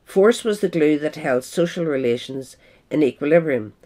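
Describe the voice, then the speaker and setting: Higher-pitched man reading an essay. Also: lively intonation, normal speed, a little mellow. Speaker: reader. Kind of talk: scripted narration of an essay.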